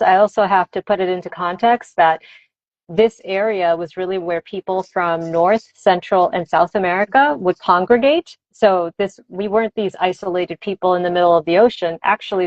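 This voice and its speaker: A woman's voice: on the low side, normal speed, dry recording. A woman who is a native Spanish speaker, explaining things conversationally as a teacher being interviewed, reflective and enthusiastic. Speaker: language teacher and advocate, interview guest. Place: dry close room on a video livestream. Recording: dull, lo-fi livestream audio.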